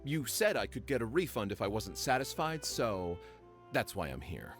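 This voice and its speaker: A man's voice: medium pitch, very animated, moderate pace, faint music behind, natural voice. A man playing an awkward, evasive shop customer in a video game, hesitant but polite. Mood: uneasy.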